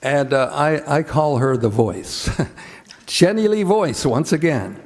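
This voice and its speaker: A man announcing in the style of 1940s radio, with a measured, deliberate delivery, as the host of a show. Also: on the high side, expressive, over music.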